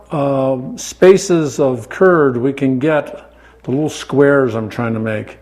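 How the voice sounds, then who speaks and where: An older American man explaining his work informally and step by step, in a halting manner. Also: mid-range voice. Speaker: farm owner and cheese maker. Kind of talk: informal explanation while demonstrating. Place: indoors in a small farm creamery. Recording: clear background noise, working creamery room.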